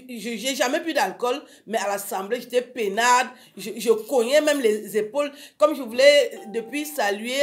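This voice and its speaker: The lively woman guest who takes over a local radio talk show with her exclaimed anecdotes. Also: fairly high pitch. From Africa. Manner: animated storytelling, exclamatory. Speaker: guest, writer on violence against women. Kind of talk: casual radio interview chat.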